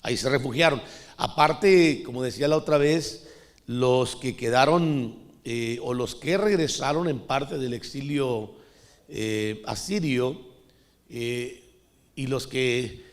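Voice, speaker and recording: A man's voice: mid-range voice, slow pace. A middle-aged man from Costa Rica preaching a sermon in a conversational way, often calling the listeners brother. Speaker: pastor.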